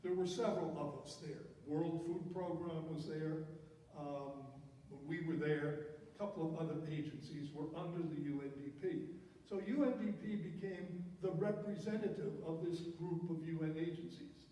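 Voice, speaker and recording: A man's voice: a little high, fairly even intonation, moderate pace, mellow. An older man telling personal career stories to an audience in an emphatic way, often repeating words for effect. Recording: very echoey hall, distant mic, faint noise.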